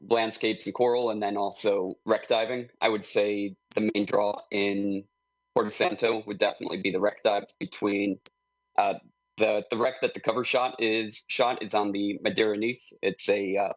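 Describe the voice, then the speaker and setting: A man with a fairly low voice speaking as a podcast guest, calm and descriptive. His sound comes through dull and lo-fi, dry and close. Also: no room sound. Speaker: photographer, guest. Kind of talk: casual podcast chat.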